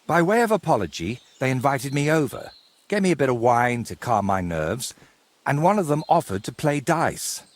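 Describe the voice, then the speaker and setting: An actor playing a wry, courteous, middle-aged medieval painter in a video game, with a husky voice and a wordy, theatrical way of talking. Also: male voice, mid-range voice, very animated, moderate pace, lightly acted. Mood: indignant storytelling. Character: wry, wordy ageing medieval painter, robbed but polite. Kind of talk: game cutscene dialogue.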